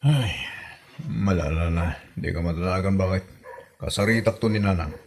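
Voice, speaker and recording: A man's voice: sits low, normal speed, vocal fry, darkish tone. A man playing a put-upon husband in a comedy drama, grumbling defensively with a northern Philippine accent. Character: put-upon husband caught between wife and mother. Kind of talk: comedy radio drama acting.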